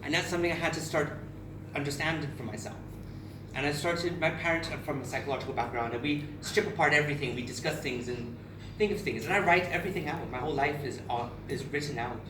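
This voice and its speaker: Thirtysomething guy from barbados giving a heartfelt talk, kinda high voice, echoey room picked up from far off, noisy. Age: 30s. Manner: candid and emotional, with frequent restarts. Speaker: speaker at a charity event. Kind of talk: personal speech to an audience. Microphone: far camera.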